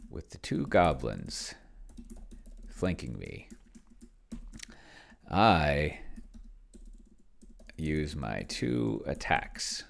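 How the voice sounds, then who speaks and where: Male gamer-host, low gravelly voice, casual think-aloud commentary, mutters while typing. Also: sing-song, a little hiss or hum. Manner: muttering to himself, slowing as he dictates while typing. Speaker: solo tabletop gamer and video host. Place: indoors at a computer, keyboard clicks behind.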